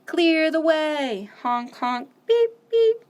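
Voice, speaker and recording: A woman hosting a children's storytime, reading picture books aloud and singing nursery songs in an animated, sing-song way with loud playful sound effects. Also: high-pitched, takes it slowly.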